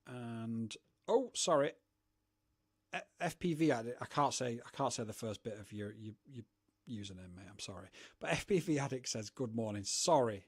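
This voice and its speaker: A man's voice: fairly low pitch, slightly rough. A man from the UK, hosting a rambling, chatty livestream from his home studio and talking to viewers in chat. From United Kingdom. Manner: rambling, chatty, self-deprecating. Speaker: livestream host.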